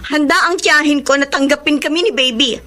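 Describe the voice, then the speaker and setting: High-voiced young Filipina mother, emotional. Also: fairly quick, bright, forceful tone. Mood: hurt, defiant. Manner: earnest, emotional. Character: wronged young single mother, hurt but resolute. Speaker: radio drama actress. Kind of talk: emotional Filipino radio drama acting.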